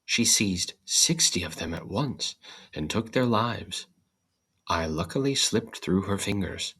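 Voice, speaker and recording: A man's voice: sits low, expressive, slow, a bit bright, slightly rough, full character voice. A man voicing a talking straw, an eager, plaintive little storybook character with formal, old-fashioned phrasing.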